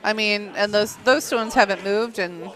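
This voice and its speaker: A woman's voice: medium pitch, fairly bright tone, poor audio. Easygoing woman commentating curling, crowd behind. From Iowa. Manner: light, laughs easily, quick agreeing remarks. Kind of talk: casual color commentary.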